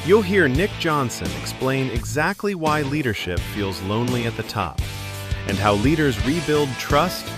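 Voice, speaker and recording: A male announcer reads a scripted podcast promo in a lively, swinging voice. Plucked guitar music plays clearly beneath him. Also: medium pitch, moderate pace. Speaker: show announcer.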